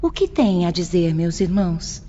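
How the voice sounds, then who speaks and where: A woman's voice: on the low side, very animated, normal speed, mellow, slight background noise. A woman playing a devout, modest spirit teacher in an audio drama, with a formal, deferential, instructive manner. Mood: modest, courteous. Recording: dull muffled audio, long reverb, music behind.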